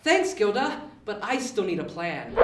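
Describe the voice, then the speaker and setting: A woman's voice: medium pitch, measured pace, background noise. Storybook boy elf, played by a woman: cheerful and exclamatory, swinging melody, recorded in a roomy space. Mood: excited. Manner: exclamatory, animated. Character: eager, cheerful young male elf. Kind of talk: children's storybook readalong. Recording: roomy, distant mic.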